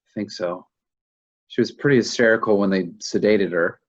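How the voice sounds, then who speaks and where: A man acting a shaken, confused husband in grief in a staged play reading, speaking haltingly and repeating himself. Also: fairly low pitch, muffled recording, natural voice. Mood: subdued, anxious. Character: shaken, confused husband grieving a lost pregnancy.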